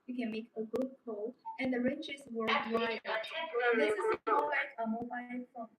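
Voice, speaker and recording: A woman's voice: on the high side, fairly even intonation, normal speed. A young woman, not a native English speaker, giving an unscripted, repetitive live sales demonstration of a product. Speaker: live-stream product presenter. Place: indoor showroom studio with desk and backdrop. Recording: roomy, distant mic, background noise.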